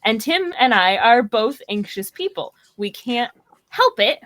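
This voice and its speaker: A woman's voice: a little high, big pitch swings, measured pace, fairly bright tone. A woman in a casual, conversational discussion as part of a podcast panel. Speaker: podcast guest or co-host.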